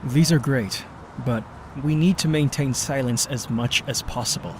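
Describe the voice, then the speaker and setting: A man's voice: mid-range voice, normal speed, natural voice. Man as a serious team leader, noisy background. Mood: focused. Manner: firm, briefing. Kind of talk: audio comic character acting.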